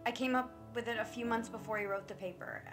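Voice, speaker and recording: A woman's voice: a little high, fast, music in the background, close to an everyday voice. A woman playing a guilt-ridden, defensive massage therapist in a stage drama, pleading and confessional. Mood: guilty, confessing. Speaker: actor in a stage play reading.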